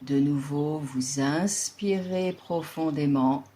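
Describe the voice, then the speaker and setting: A woman leading a guided yoga relaxation as an instructor, speaking in a calm, soothing and deliberate way with long pauses. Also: low voice, a little hiss or hum.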